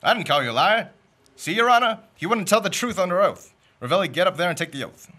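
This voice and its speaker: A young man doing a bright, wisecracking shyster lawyer. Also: high-pitched, lively intonation, exaggerated voice. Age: young adult. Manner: rapid wisecracks, mock-grand speeches. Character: fast-talking, greedy male shyster lawyer. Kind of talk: comic radio play acting.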